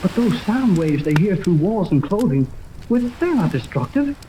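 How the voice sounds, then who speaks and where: An actor playing an eager, skeptical young sidekick in a 1930s radio adventure serial, slangy and full of questions. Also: male voice, high voice, sing-song, moderate pace. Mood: skeptical, puzzled. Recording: noisy old 1930s radio, rain-like hiss.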